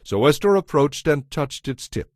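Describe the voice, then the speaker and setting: A man's voice: fairly high pitch, very animated, moderate pace, no room sound, clean studio sound. A man narrating scripture as a scripted, dramatized story, with a solemn storytelling delivery. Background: music.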